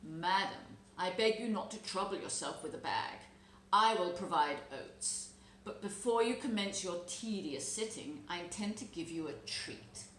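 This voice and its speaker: A woman voicing a sly male fox gentleman from a storybook, with formal, courtly manners that can turn abrupt. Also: on the low side, lively intonation, unhurried. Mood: courtly, coaxing. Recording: roomy echo, background noise.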